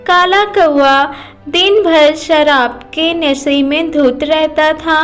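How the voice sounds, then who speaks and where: A woman's voice: sits high, steady tone, slow, fairly bright tone, soft background music. A woman narrating a scripted children's cartoon story.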